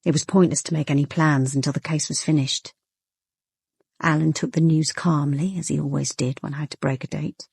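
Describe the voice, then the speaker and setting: A woman's voice: low voice, steady tone, a little fast, creaky, a little mellow, slightly rough, dry recording, clean studio sound, heavily acted. An actress with an English accent playing a young police inspector who narrates her private life in an intimate, weary, guarded way for a radio drama. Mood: reflective, guarded.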